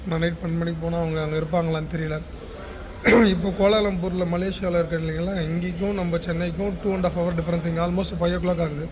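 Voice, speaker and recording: Tamil nadu guy doing a casual travel vlog, pretty flat intonation, lots of airport noise behind him. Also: a little high, fairly quick. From Chennai, India. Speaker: business founder vlogging. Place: busy international airport. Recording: noisy public space, clear background noise.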